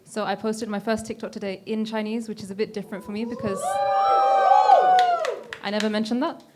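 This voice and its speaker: A woman's voice: mid-range voice, level tone, brisk, over a noisy crowd. A woman chatting casually as a member of a discussion panel. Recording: clearly noisy live recording.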